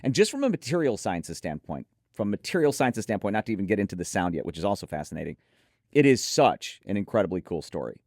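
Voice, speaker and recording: Male review host, lower register, emphatic but conversational delivery, close dry sound. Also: sing-song, fast, darkish tone, no room sound.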